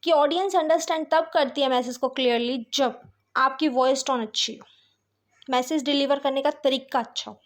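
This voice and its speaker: High-pitched Indian woman teaching. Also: moderate pace. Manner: mixes Hindi with English terms, often checks understanding with tag phrases. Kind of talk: explanatory lesson, reading points aloud then explaining.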